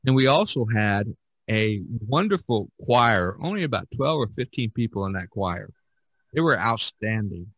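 A man's voice: on the low side, big pitch swings, normal speed, fairly bright tone, dry recording, poor audio. A middle-aged or older American man from Indiana hosting a casual podcast chat, often chuckling as he talks. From Indiana, United States. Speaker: podcast host.

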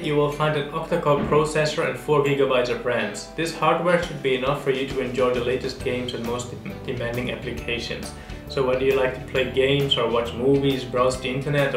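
Young man presenting in a roomy space. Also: medium pitch. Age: young adult. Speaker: product review presenter. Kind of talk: unboxing review presentation to camera. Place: small indoor studio, seated at table. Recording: roomy echo, music behind. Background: background music.

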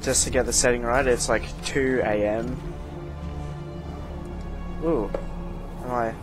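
A man's voice: medium pitch, steady tone, slow. A man doing casual let's-play commentary as a gaming YouTuber, offhand and blunt. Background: game music.

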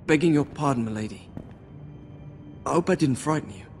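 An actor playing a humble, earnest young servant in a fantasy video game, deferential and coaxing in manner. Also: male voice, mid-range voice, lively intonation, brisk, a little mellow, soft background music, lightly acted. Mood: earnest, pleading. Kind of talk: dramatic video game acting.

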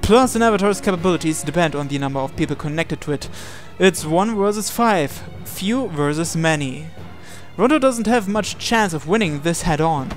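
A man's voice: fairly high pitch, expressive, moderate pace. A man reading visual novel narration aloud in a dramatic storytelling style. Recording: game music playing behind. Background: video game music.

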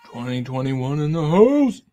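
A man's voice: a little high, a little slow, no echo. Chatty man hosting a whiskey livestream. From Texas. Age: 30s. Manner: relaxed, chatty, reading viewer comments aloud. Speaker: whiskey channel host. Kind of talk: casual livestream chat. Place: home bar with lit shelves of bottles. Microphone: desk condenser mic.

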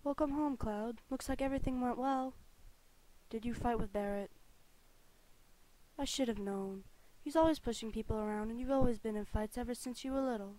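A woman voicing a sweet, caring young woman in a gentle, earnest manner for a game fandub audition. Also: fairly high pitch, moderate pace, lightly acted, poor audio. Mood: tender. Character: sweet, caring young woman greeting her childhood friend.

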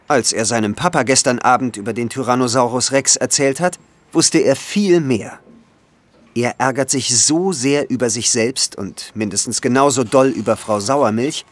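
A man narrating a scripted children's audio play. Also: medium pitch. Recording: music under the voice. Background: music.

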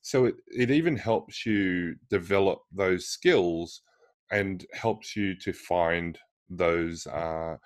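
Deep-voiced male podcast host. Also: slow pace, no room sound. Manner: hesitant, with many fillers and restarts. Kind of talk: interview over video call. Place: indoor room. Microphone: video call.

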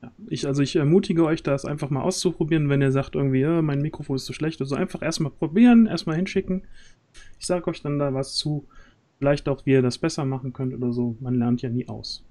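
A man's voice: a little high, fast, warm tone. A man, chatting casually as host of a livestream, often searching for words. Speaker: stream host and audio drama organiser. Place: small room at home, on a group video call.

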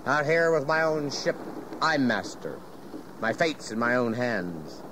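An actor playing a jovial, garrulous, folksy ship captain in an audio history drama. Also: male voice, mid-range voice, very animated, a little slow. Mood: wistful, warm. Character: hearty, cantankerous-sea-loving ship captain, folksy and fatherly. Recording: clear background noise of ship ambience.

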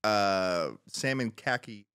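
Wry podcast guest from Massachusetts, lowish smooth voice. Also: male voice, slow, fairly bright tone, no room sound, studio quality. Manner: wry, self-deprecating. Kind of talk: casual chat. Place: indoor podcast studio with sofas. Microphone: boom microphone close to the mouth.

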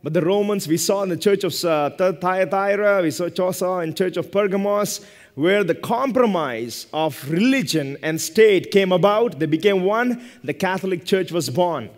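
A man's voice: high-pitched, expressive, moderate pace. A man in his thirties preaching as a pastor from a lectern, emphatic, in short phrases repeated for stress. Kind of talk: sermon, preaching and teaching from a pulpit. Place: church stage at a lectern, indoors. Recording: long hall reverb.

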